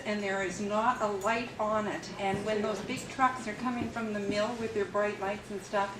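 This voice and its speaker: Loud, emphatic woman resident, echoey hall. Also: mid-range voice. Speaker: resident asking a question. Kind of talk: public meeting comment. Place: community hall. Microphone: distant room mic. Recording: echoey hall from far off, noisy.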